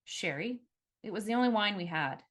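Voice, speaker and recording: A woman's voice: medium pitch, animated, normal speed, a bit bright, pitched above the performer's own voice, natural voice. A woman voicing a wary, defiant female bartender in an audiobook, with a blunt, guarded and direct way of talking. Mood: guarded, polite.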